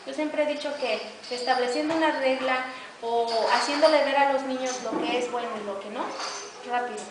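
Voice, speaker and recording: A young woman who teaches preschool, speaking calmly and softly about her classroom in an interview. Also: high-pitched. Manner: soft-spoken, calm, unhurried. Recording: echoey room, distant mic, noisy background.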